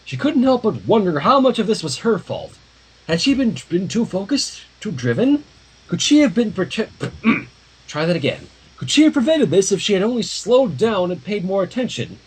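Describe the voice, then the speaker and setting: A man reading a story aloud on a livestream with expressive, dramatic narration and casual asides, in a husky voice. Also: high-pitched, moderate pace, rough recording. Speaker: fan fiction reader.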